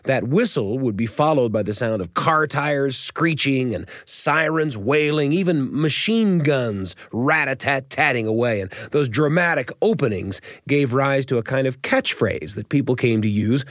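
A male radio host telling a story in a chatty, explanatory way. His mid-pitched voice is very animated, recorded close in a dry studio. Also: a little slow, hardly any pauses, no echo. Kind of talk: animated storytelling commentary on an old radio show.

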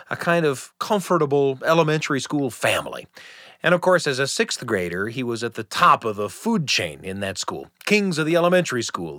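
A middle-aged man hosting a radio show, telling a personal family story in a gentle, reflective, earnest way. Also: a little high, dry recording, studio quality.

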